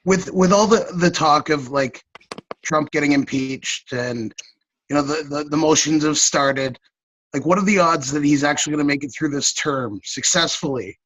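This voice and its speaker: A man's voice: on the high side, normal speed, fairly bright tone, rough recording. A man from the audience casually asking a question in everyday words.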